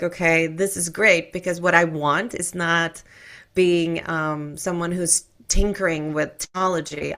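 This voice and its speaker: A woman's voice: lowish voice, creaky, fairly bright tone. A middle-aged woman from Sweden with an American accent, chatting casually and candidly as an interview guest. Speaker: podcast guest, tech expert.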